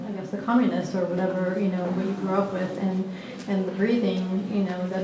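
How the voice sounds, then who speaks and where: A woman's voice: mid-range voice, background noise. Rambling woman, far off in an echoey gallery. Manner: rambling, searching for words. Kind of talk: asking a panel question. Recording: distant mic in an echoey gallery with crowd ambience.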